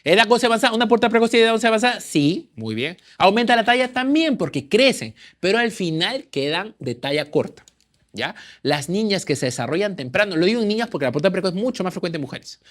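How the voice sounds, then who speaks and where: High-voiced man quizzing exam questions. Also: very animated, quick pace. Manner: quizzing, answers own questions. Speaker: medical exam tutor. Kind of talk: lecturing through exam questions.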